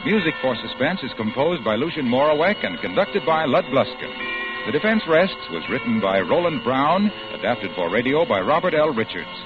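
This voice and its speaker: A man announcing and reading sponsor copy on an old radio broadcast, in a brisk, salesy style. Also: medium pitch, dull audio.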